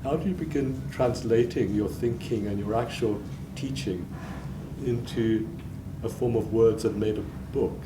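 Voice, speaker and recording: A man hosting a book event, asking the author about her work in a measured manner with a few fillers. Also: on the low side. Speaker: event host and interviewer. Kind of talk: interviewer's questions. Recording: roomy room, distant mic, clear background noise.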